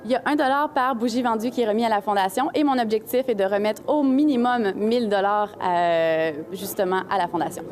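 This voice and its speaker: A young woman from Quebec with a Quebec French accent, answering an interview clearly and poised as a carnival duchess in a shopping mall. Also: medium pitch, fairly quick, few pauses, darkish tone. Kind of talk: interview in public place. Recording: crowd noise in mall. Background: crowd chatter.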